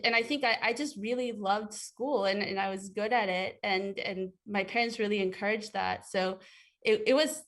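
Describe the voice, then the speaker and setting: A woman's voice: medium pitch, fairly bright tone. A woman from Ecuador, a guest in an interview, answering thoughtfully with frequent fillers and restarts.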